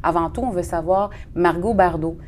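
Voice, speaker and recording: Female podcast host, slightly low pitch, interviewing style, light background noise. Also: normal speed, a little mellow. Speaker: podcast host, real estate broker.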